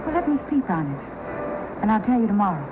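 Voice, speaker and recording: An actress playing a coy, guarded frontier entertainer in an old-time radio drama. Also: female voice, medium pitch, sing-song, fast, phone-quality sound, over music, natural voice. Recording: noisy old radio recording.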